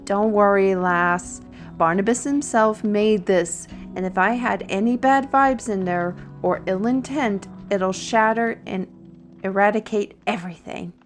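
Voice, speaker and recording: A voice actress plays a learned fantasy steward, a woman with a brisk, wry temper. Her delivery is slow and measured, at a medium pitch. She addresses the listener like a companion and instructor in an audio drama, with music behind her. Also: close to an everyday voice. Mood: explaining, brisk.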